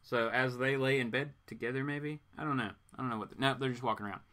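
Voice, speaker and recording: A man's voice: medium pitch, quick pace, pushed and bright. A man hosting a comics read-aloud, delivering it dramatically with informal, joking asides. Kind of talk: dramatic comic book reading with casual asides.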